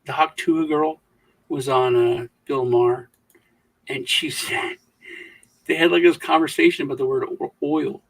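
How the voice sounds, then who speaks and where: Southern American man, husky and gravelly, a bit high for a man, chatty co-host who does voices. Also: steady tone, unhurried, low-quality recording. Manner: storytelling, mimics voices with high-pitched bursts. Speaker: podcast co-host. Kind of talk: casual chat.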